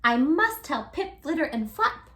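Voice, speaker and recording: Woman as a sweet excitable young bat girl, high. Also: measured pace. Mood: excited. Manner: squeaky, wide-eyed.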